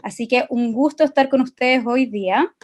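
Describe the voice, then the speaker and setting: A woman's voice: high voice, moderate pace, darkish tone. A woman with a Chilean Spanish accent giving an informal, friendly and chatty online class to participants on a video call. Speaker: presenter of an online masterclass. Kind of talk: informal interactive online lecture.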